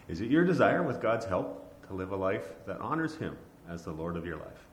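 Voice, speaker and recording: A man's voice: low-pitched, very animated, brisk. A male pastor formally asking baptism questions and pronouncing the baptism. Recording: echoey room with faint noise.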